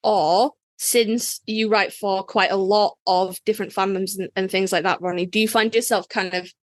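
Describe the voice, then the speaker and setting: A woman's voice: medium pitch, steady tone, moderate pace, no room sound, studio quality. A woman co-hosting a casual podcast interview, asking questions loosely and swearing offhandedly. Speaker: podcast co-host.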